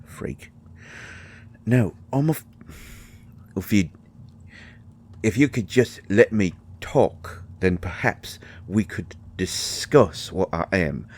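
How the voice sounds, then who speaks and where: A man playing a slow, deep-voiced grumpy fairy healer. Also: expressive, a little mellow, exaggerated voice. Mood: indignant, flustered. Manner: hesitant, exasperated, sighing, wryly sarcastic. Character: grumpy, secretive male fairy healer tending a vampire. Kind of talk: intimate roleplay audio acting.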